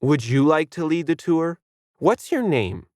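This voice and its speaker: Female tour guide for an audio story, out of patience, insincerely courteous, pitched up male narrator performs. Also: sing-song, measured pace, darkish tone, dry recording, studio quality. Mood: fed up. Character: annoyed woman tour guide, curt, falsely polite.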